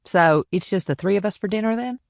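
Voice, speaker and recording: A woman's voice: medium pitch, brisk, vocal fry, no room sound, studio quality, lightly acted. A woman playing a tough, blunt, sardonic small-town policewoman in an audiobook drama. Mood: irritated. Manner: blunt, direct.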